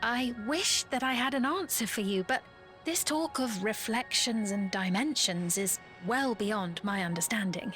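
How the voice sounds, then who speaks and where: A woman playing a courteous, duty-bound queen in a video game, speaking in formal, archaic and measured phrases. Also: a little high, a bit bright, faint music behind. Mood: courteous, composed. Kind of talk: video game cutscene voice acting.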